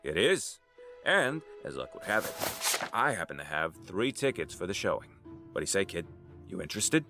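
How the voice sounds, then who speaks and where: A man's voice: low-pitched, very animated, moderate pace, over music. A man playing an easygoing, joking uncle figure in an audio drama. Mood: warm, encouraging. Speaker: voice actor.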